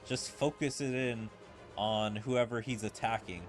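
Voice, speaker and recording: A man's voice: mid-range voice, steady tone, measured pace, faint music behind. A man giving casual let's-play commentary in a musing, informal way, with filler-heavy asides. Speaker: gaming video host. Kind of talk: casual let's-play commentary, reading game dialogue aloud.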